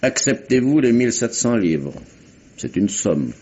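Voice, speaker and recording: An actor playing a stern, imperious British colonial official, formal and peremptory, in a French radio mystery drama. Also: male voice, mid-range voice, sing-song, measured pace, darkish tone. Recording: muffled, noisy vintage radio recording.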